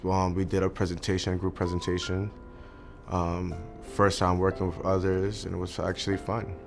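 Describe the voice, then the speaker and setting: A man speaking as a student in an interview testimonial, reflective and unhurried. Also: low-pitched, completely flat delivery, creaky. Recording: soft music underneath. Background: background music.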